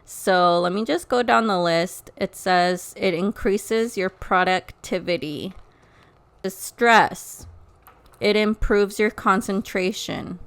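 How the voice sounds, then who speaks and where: A woman's voice: medium pitch, slow pace, a bit bright, smooth. A young woman co-hosting a podcast in easygoing casual chat, reading out a list with her own asides. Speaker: podcast co-host.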